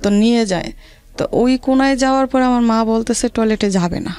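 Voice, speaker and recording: A woman with a rural Bangladeshi accent, telling her life story to an interviewer in halting, repetitive dialect. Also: on the high side, darkish tone, smooth, no room sound.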